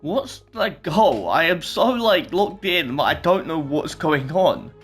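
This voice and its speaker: A man's voice: fairly high pitch, very animated, a little slow, fairly bright tone, faint music behind. A man giving casual live commentary as a game streamer, thinking aloud hesitantly about the story.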